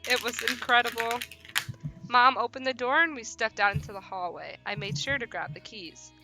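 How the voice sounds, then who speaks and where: Bright-voiced woman streaming, higher pitched. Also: moderate pace, faint music behind. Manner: animated, slangy, stumbles and repeats when reading. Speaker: streamer reading a visual novel aloud. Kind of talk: live playthrough, narration mixed with casual banter.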